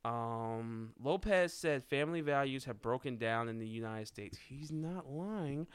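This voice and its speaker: A man's voice: mid-range voice, animated, unhurried, smooth. A man co-hosting a casual podcast, reading out news headlines and reacting with blunt swearing, frequent laughs and restarts. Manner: swears freely, laughs often, trails off and restarts. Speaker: podcast co-host.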